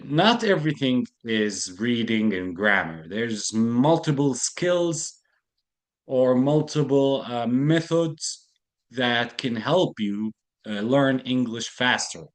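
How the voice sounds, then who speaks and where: A man teaches an English class over a video call with his camera switched off. He talks patiently into a computer mic, saying key phrases twice and explaining idioms as he goes. His voice sits at a middle pitch. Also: lively intonation, slow. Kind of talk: online lesson, explaining slowly.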